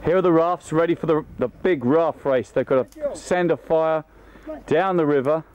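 A man's voice: on the high side, moderate pace, darkish tone. A man giving live home-video commentary and calling out instructions as a leader running games, recorded outdoors on a camcorder. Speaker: scout leader filming and running camp games. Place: outdoors at a riverbank and campsite. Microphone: behind a handheld camcorder. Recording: amateur camcorder audio outdoors.

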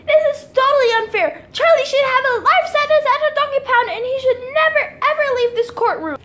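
A woman's voice: very high-pitched, animated, fairly quick, sharp tone, slight background noise, pitched above the performer's own voice, heavily acted. A girl voicing a mean, bossy female duck who works as a lawyer in a homemade story. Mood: indignant.

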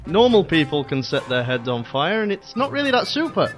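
A man's voice: fairly high pitch, big pitch swings, fairly quick, soft background music. A man playing an unimpressed young superhero sidekick in a comedy audio drama, blunt and matter-of-fact. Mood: sceptical.

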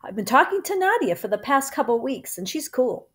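A woman's voice: high voice, very animated, brisk, voice pushed up. A woman reading a children's story, voicing a proud, joking young uncle who talks in casual slang. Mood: easygoing.

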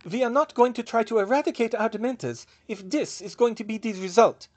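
A stern older witch, as a male narrator puts her on, speaking high and sharply. She is an unyielding official in a fantasy storybook. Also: fairly quick.

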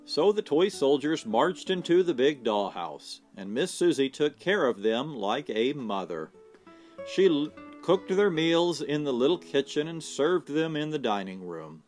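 A man's voice: on the high side, big pitch swings, measured pace. A man reading a children's storybook aloud as storyteller, expressively, lingering on words for effect. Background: soft guitar music.

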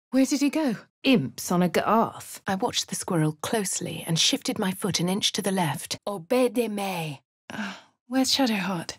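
An actress voicing a posh young woman adventurer in a game, speaking in a posh English accent and swinging between quiet whispers and sharp cries, recorded close in a studio. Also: mid-range voice, no room sound. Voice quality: crisp upper-class delivery. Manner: varied reading, from soft whispers to sharp shouts. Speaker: player character. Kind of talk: game voice lines, first-person narration. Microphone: close studio mic.

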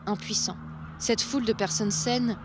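A woman storyteller giving a scripted, literary and solemn narration of a dark satirical folk tale. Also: a little high, steady tone, normal speed, noisy background.

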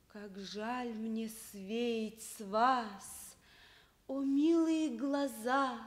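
An actress playing a passionate, scheming enslaved princess in love, with theatrical, drawn-out, declamatory delivery in a radio play. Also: female voice, medium pitch, husky, heavily acted. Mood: tender, anxious. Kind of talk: declamatory classic stage acting in a radio play.

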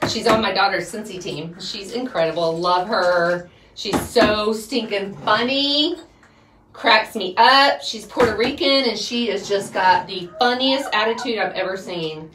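A middle-aged woman talks casually to her viewers as she works. Her delivery is folksy and chatty, with a medium pitch. The mic sits at a distance in a small, roomy-sounding wooden space, with clear noise behind her. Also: unhurried. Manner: folksy, chatty, rambling while working. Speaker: homestead vlogger and host. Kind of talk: casual chat to viewers during chores. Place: small wooden chicken coop. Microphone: phone set up a few feet away.

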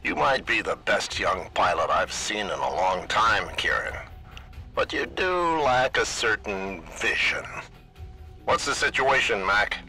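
A man playing a calm, calculating mission boss in a sci-fi audio drama, measured and authoritative. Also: lowish voice, soft background music, exaggerated voice. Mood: condescending.

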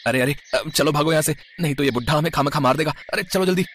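An actor playing a cheeky, cowardly young village loafer in an animated story. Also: male voice, on the high side, quick pace, slight background noise, close to an everyday voice. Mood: cheeky, nervous.

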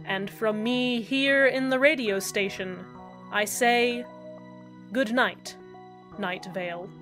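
A woman plays an earnest male radio host from a strange small town, closing his show with sincere thanks tinged with irony. His voice sits a little high for a man. He speaks in a steady broadcast cadence. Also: slow, faint music behind. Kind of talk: fan audio drama acting.

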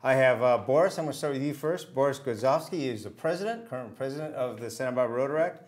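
A man's voice: medium pitch, lively intonation, quick pace, a little mellow. An older man hosting a community TV interview in a studio, asking questions with short friendly affirmations. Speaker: TV show host. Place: small TV studio.

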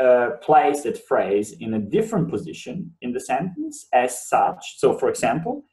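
A man in his thirties whose first language is Bosnian, giving a webinar lecture on writing and explaining points with steady emphasis. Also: medium pitch. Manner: explanatory, repeats words for emphasis. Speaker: university lecturer. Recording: roomy sound, mic at a distance.

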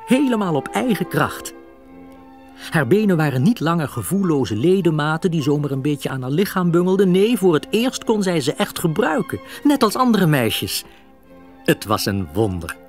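A man narrating a scripted children's story. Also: on the high side.

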